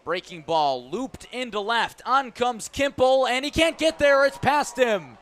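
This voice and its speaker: A man calling a baseball game live on radio as the play-by-play announcer, describing each pitch in detail. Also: unhurried. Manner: descriptive, excited calls rising on big plays. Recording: clear background noise at ballpark. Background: ballpark ambience.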